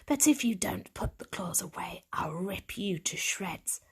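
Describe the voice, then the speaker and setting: A hard-bitten female street cat, put on by a woman reading a storybook aloud, growls her questions in a low, gravelly whisper. She sounds curt and scornful, all suspicion and no patience. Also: lively intonation, a little slow, heavily acted. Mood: suspicious. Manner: gruff, curt, snapping questions.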